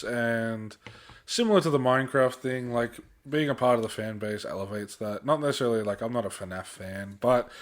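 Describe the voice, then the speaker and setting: A man's voice: medium pitch, sharp tone. A young man co-hosting a podcast, talking casually and candidly with slang and self-corrections. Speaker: podcast co-host. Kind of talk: casual film discussion.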